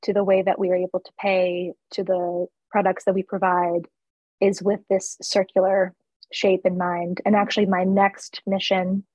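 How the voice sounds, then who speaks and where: A woman's voice: mid-range voice, level tone, normal speed, a little mellow, no room sound, dull audio. A woman from the East Coast who owns a flower shop, talking candidly in a casual interview with fillers and laughs. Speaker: florist and shop owner.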